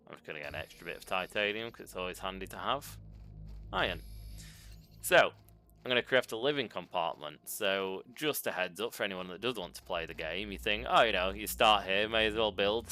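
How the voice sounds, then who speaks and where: A man's voice: deep voice, pushed and bright. A man casually talking through a video game as a channel host, thinking aloud with ums and self-corrections into a desk mic. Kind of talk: casual gameplay commentary. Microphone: desk microphone.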